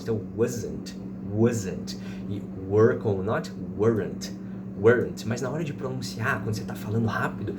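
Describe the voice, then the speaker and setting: A man in his thirties with a Brazilian accent, teaching informally and didactically, repeating examples slowly and checking in with listeners. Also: medium pitch. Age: 30s. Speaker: English teacher. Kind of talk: informal explanatory language lesson with slow example sentences. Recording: distant mic, loud background noise.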